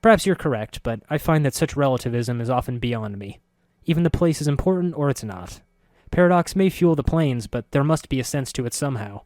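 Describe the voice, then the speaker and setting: A man reading a story voices a curious, sharp-spoken middle-aged woman who talks in a quick, insistent way. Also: mid-range voice, lively intonation, dry recording, studio quality, pitched above the performer's own voice, close to an everyday voice. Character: curious sharp-spoken woman, neither young nor old, newcomer.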